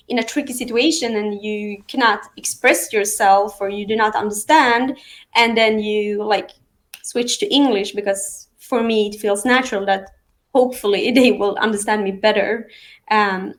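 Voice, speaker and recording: A woman from Sweden, whose first languages are Aramean and Arabic, talking through her path in real estate in a relaxed interview with frequent fillers and restarts. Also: medium pitch, moderate pace. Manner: thoughtful, many fillers and restarts. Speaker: real estate agent, guest. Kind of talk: casual interview conversation.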